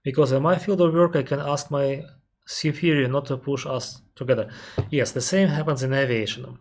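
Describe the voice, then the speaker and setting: Russian-accented man explaining at measured length. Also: medium pitch, steady tone, a little hiss or hum. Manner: careful, explanatory, pauses with frequent "uh" and restarts; reads viewer questions aloud, then answers at length. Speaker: airline captain answering viewer questions. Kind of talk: live-stream Q&A.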